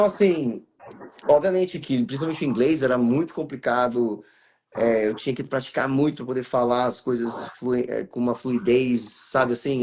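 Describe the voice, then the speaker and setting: A Brazilian man in his thirties talks through his career in a relaxed, rambling way. His voice sits in the middle of the range. He backs up and rephrases often, and a faint hiss runs underneath. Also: mid-range voice. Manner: rambling, with many restarts and fillers. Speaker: guest actor and voice actor. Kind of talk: casual interview over a live stream.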